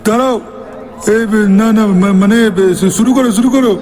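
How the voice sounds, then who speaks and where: A man's voice: high-pitched, brisk, darkish tone, natural voice. A man voicing a crude, fussy competition announcer in a comedy cartoon. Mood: flustered. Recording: music behind the voice.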